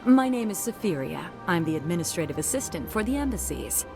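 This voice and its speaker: A courteous embassy receptionist in a video game, with a slightly low voice and lively intonation. She sounds composed, professional and helpful, with music behind her. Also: fairly quick. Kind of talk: video game dialogue acting.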